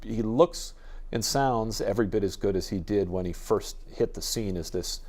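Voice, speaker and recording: A man's voice: fairly low pitch, moderate pace, a little mellow. A man speaking as a music expert guest in an informative, enthusiastic interview, explaining things at length in a discursive way. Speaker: radio jazz expert guest.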